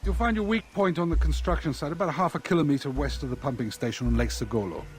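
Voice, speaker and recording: An actor playing a cynical middle-aged mercenary fixer in a video game, briefing in a dry, matter-of-fact way. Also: male voice, fairly high pitch, fast, a little hiss or hum, natural voice. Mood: businesslike. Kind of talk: video game cutscene acting. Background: music.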